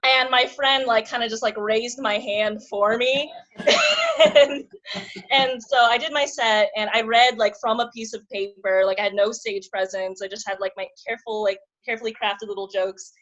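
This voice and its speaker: A woman's voice: on the high side, steady tone, moderate pace, fairly bright tone, dull audio. A woman in her twenties, a comedian guest, chatting casually and rambling with lots of fillers and giggles. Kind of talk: casual interview chat.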